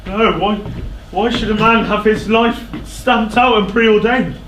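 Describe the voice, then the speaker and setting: A man's voice: high voice, a little slow. A man playing a proud, wrathful king in a stage tragedy, imperious and declamatory as he demands the truth. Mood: anguished, defiant. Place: indoor theatre stage. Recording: echoey theatre stage with loud noise.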